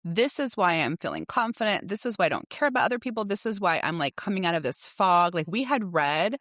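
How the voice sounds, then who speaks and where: A woman's voice: lowish voice, expressive, a little fast, fairly bright tone, no echo, studio quality. A woman in her forties co-hosting a podcast in a casual, candid and emphatic chat. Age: 40s. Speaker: podcast co-host.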